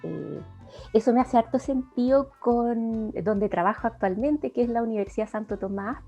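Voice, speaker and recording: A woman's voice: mid-range voice, gravelly, a little mellow, slightly rough. A middle-aged woman from Chile with a Chilean accent, answering conversationally as an interview guest. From Valparaíso, Chile. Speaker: interview guest, psychology lecturer. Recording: dull lo-fi audio, music behind.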